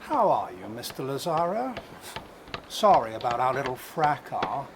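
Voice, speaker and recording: An actor playing a dignified, fastidious English officer in a radio drama, speaking with polite, measured formality. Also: male voice, mid-range voice, very animated, noisy background. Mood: coolly polite.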